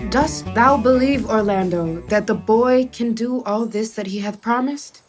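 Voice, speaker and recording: A woman playing a noble duke, a thoughtful father with a fairly high voice. The speech is Shakespearean radio drama with faint music behind. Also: a little slow, lightly acted. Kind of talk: Shakespearean radio play acting.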